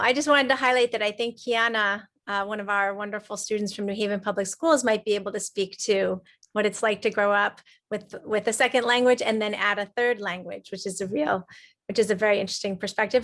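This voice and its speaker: Female panelist, mid-pitch, polite and brief. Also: fairly even intonation, a little fast, a bit bright. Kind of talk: conversational interview.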